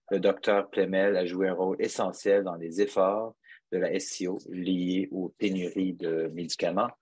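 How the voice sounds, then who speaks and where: A man moderating a conference webinar, hesitant and filling pauses with "euh". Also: sits low, darkish tone, husky, no room sound. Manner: hesitant, many fillers. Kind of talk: hosting a webinar.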